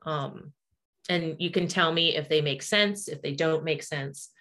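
A woman's voice: deep voice, unhurried, bright, forceful tone. A woman in her forties talking casually and explaining her practice as an energy healer. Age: 40s.

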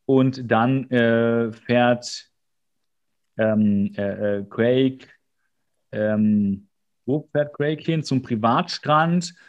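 Playful man co-hosting a podcast. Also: mid-range voice. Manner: playful, mock-serious asides. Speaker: podcast co-host. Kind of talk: casual chat retelling a TV episode.

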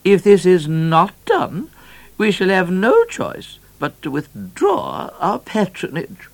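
A man's voice: sits high, wildly swooping pitch, slow pace, vocal fry, no room sound, voice pushed up, full character voice. A man voicing Queen Victoria as a haughty, displeased royal woman in a storybook, with a pompous, formal delivery. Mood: haughty displeasure.

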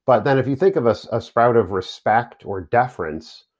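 A man's voice: medium pitch, lively intonation, normal speed. A man thinking aloud in a casual academic discussion, musing in a conversational way. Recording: dull lo-fi audio.

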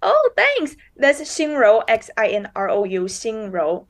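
A woman's voice: a little high, wildly swooping pitch, unhurried. Woman with a Mandarin Chinese accent. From China. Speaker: journalist, podcast guest. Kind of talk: interview answers.

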